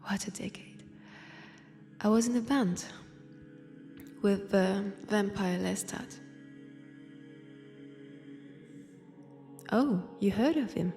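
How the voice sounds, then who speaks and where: A woman with a French accent voicing an ancient, teasing vampire who poses as a nun, in a hushed, intimate roleplay drama. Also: medium pitch, soft background music. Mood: wry, nostalgic. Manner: slow, teasing, hushed, dropping into whispers. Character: ancient, powerful female vampire posing as nun, teasing, sardonic. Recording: long church-like reverb.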